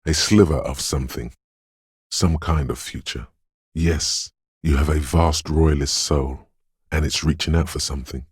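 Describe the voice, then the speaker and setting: A man voicing a detective's eerie, dreamy intuition in a video game, narrating in a husky, hushed, ominous and poetic way. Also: fairly even intonation, unhurried, no echo, clean studio sound, full character voice. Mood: searching, uncanny. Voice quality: husky, gravelly bass. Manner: hushed, ominous, poetic, lingering on short phrases. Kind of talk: atmospheric second-person video game narration.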